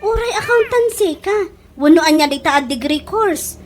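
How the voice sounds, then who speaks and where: A woman's voice: extremely high pitch, lively intonation, moderate pace, a little hiss or hum. A woman with an Ilocano Filipino accent playing a helpful, plain-spoken young caregiver trainee in a radio drama. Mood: gently reproving.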